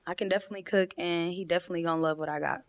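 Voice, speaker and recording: A woman's voice: on the low side, steady tone, rapid-fire. A woman in her twenties from Minnesota, a contestant answering in a confident, flirty way. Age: 20s. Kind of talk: casual game show answers.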